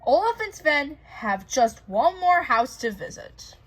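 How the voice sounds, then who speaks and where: A woman co-hosts a read-aloud of a children's story. Her voice is very high and moves up and down a lot as she narrates expressively. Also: very animated, takes it slowly, rough recording. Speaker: co-host reading a picture book aloud.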